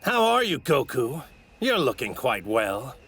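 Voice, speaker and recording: An actor in an English anime dub playing an arrogant, sadistic god-like villain with a haughty, theatrical delivery and a gravelly, sharp-edged voice. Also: male voice, mid-range voice, huge pitch swings, unhurried. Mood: smug, intrigued. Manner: haughty, theatrical, savoring his words. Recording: ripped anime audio with faint noise.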